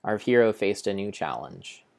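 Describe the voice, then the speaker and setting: Very low-voiced man narrating like a storybook. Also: expressive, creaky, a little mellow. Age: young adult. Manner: mock-grand, deliberate, halting delivery. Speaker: game streamer. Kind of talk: improvised dramatic storybook narration of gameplay. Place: indoor room at home.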